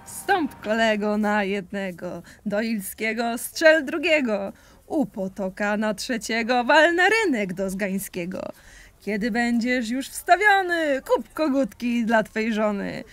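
A woman's voice: on the high side, animated, slow, a bit bright, dry recording. A woman performing rhymed verse theatrically for an audio play, with playful, sing-song phrasing.

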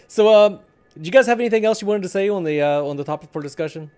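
A man's voice: high voice, brisk, darkish tone, clear, resonant tone. A middle-aged man hosting a casual podcast chat in a joking, teasing way, speaking into a headset in a room at home. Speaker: podcast host.

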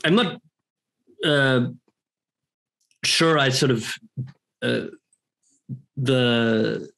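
A man, a guest talking reflectively on a video call from a home room, pausing and restarting often as he thinks aloud. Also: mid-range voice, gravelly, fairly bright tone, rough recording. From Norway. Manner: hesitant, thoughtful, many pauses and restarts. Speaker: guest, professor. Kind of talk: reflective interview talk. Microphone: headphones on a video call.

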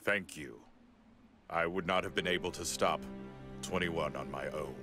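An actor playing a big, grave and earnest male android with formal, measured speech in a game. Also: low voice. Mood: grave, calm. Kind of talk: video game cutscene acting. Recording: loud music under dialogue.

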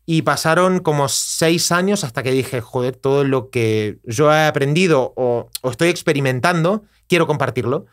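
A man in his thirties with an Argentine Spanish accent, a podcast guest telling his story in a casual, animated way. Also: on the high side, moderate pace, few pauses. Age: 30s. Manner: animated storyteller, gestures while talking, acts out quoted dialogue. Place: small studio with acoustic panels. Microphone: dynamic mic on desk stand, headphones.

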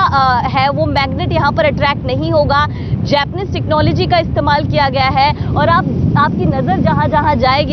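A young woman reporting live from a sea bridge, speaking briskly with hesitations and fillers. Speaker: field reporter. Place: outdoors on a windy sea bridge. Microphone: handheld mic. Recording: muffled outdoor field audio. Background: loud wind and traffic rumble.

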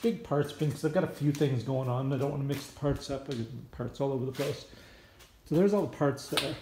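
A man's voice: medium pitch, brisk, mellow. A man with a scratchy voice, casually walking through a car repair in his garage, rambling with plenty of fillers as he handles the parts. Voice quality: scratchy voice from smoke. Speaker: home mechanic vlogger. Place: indoor garage workshop. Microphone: phone camera held while filming.

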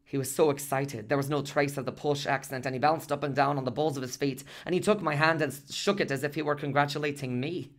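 Chatty, earnest husband played by an actor. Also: male voice, a little high, fairly even intonation, fast talker, hardly any pauses, natural voice. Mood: earnest, unsure. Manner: conversational, rambling, detailed asides. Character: earnest, chatty working-class husband recalling a hospital visit. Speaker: radio play actor. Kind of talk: storytelling monologue in a radio play.

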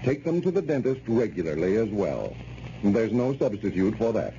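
A man's voice: on the low side, very animated, moderate pace, warm tone. An American man announcing on old-time radio, reading a script in a folksy, persuasive way. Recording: muffled, noisy old radio recording.

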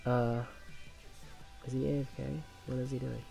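Mellow-voiced man with a slight cough. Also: mid-range voice, level tone, gravelly, faint music behind, low-quality recording. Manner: halting, many restarts and fillers, laughs at himself. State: slight cough, voice worn from illness. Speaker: gamer commentating his own gameplay. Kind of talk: casual rambling story over gameplay.

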